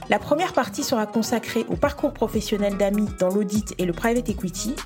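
A woman hosting a podcast, reading a measured, prepared introduction and then interviewing a guest. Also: medium pitch. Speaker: podcast host. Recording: music bed under the voice. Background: music.

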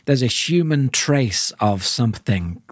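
A man's voice: medium pitch, animated, a little slow, no echo. A man in middle age speaks as a podcast co-host. His delivery is reflective and searching, with stress on key words, and the sound is dry with hardly any room on it. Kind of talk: reflective discussion of audio drama.

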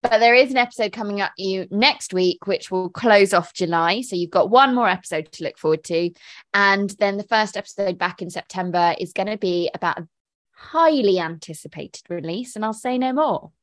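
Upbeat woman co-host, relaxed book chat, dry close mic. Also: mid-range voice, moderate pace, dry recording. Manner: chatty, upbeat, laughs often. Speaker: podcast co-host. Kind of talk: casual chat.

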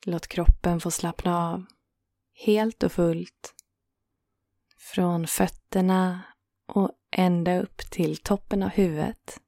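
Calm lady doing a guided meditation, soothing and pretty monotone, really dry close sound. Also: lowish voice, gravelly, no room sound. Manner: calm, soothing, unhurried with gentle pauses. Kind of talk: guided yoga nidra relaxation.

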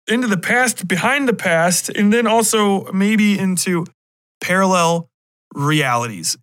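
A American man hosts a loose, rambling solo podcast. His voice is bright, sharp and a little high for a man, with blunt, sweary, irreverent delivery. The sound is very dry, with hardly any room on it. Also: no echo. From United States. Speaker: podcast host.

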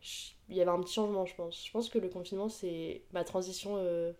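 A woman's voice: fairly low pitch, fairly even intonation, fast talker, mellow. Young woman, about twenty, hesitant casual podcast chat, slangy. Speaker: podcast co-host.

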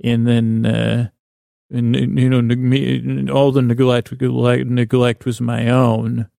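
Man, gravelly creak, soft mellow tone, sleep podcast host, wandering self-interrupting monologue, self-deprecating. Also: medium pitch, level tone, no room sound, clean studio sound. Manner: meandering, halting, self-interrupting, digressive. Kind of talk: rambling bedtime monologue to help listeners sleep.

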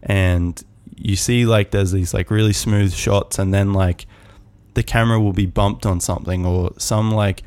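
Calm low-voiced man musing with many fillers. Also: a little slow, clear, resonant tone, dry recording. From Australia. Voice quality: calm, soft voice. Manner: rambling, many fillers and restarts. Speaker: podcast host. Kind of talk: casual solo musing.

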